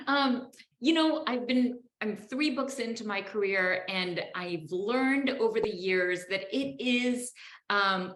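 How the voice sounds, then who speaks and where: A woman's voice: medium pitch, fairly bright tone, reverberant. A woman author talking casually and thoughtfully about her book, with many fillers and restarts. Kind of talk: casual interview chat.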